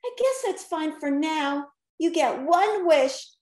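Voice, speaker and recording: A woman's voice: high-pitched, lively intonation, slow pace, a little mellow, reverberant, voice pushed up. A woman voicing a timid, anxious female wish-granting creature from a children's storybook, nervous and shaky. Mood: firm but uneasy. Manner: nervous, fretful, shaky-voiced. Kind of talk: children's storybook character reading. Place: indoors, attic-style room at home.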